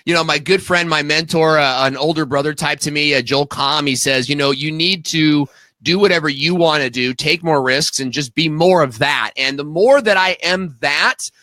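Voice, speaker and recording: A man's voice: a little high, moderate pace, pushed and bright, no echo. A man in his thirties co-hosting a live show in a casual, chatty panel style. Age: 30s.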